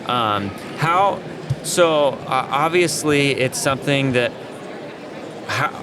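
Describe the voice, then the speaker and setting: A man's voice: medium pitch, lively intonation, slow. An American man co-hosting a podcast, chatting in an informal, rambling way. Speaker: podcast co-host. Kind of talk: casual chat. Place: live at an event being packed up. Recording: clattering teardown noise, busy event. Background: things dropped, loud ambient clatter.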